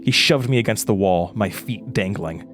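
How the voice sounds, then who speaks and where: An actor playing a haunted, frightened man recounting a horror ordeal in a halting, intense way, as in an audio drama. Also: fairly low pitch, fairly bright tone, faint music behind, close to an everyday voice. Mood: haunted, tense. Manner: halting, stammering over words, intense. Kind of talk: first-person horror audio drama narration.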